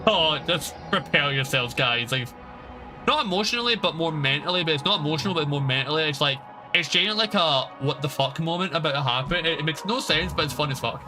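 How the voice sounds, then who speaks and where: A man's voice: on the high side, expressive, quick pace. A man doing casual live gameplay commentary as a streamer, rambling and informal, with some swearing. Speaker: game streamer. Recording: stream with game music behind. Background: video game music.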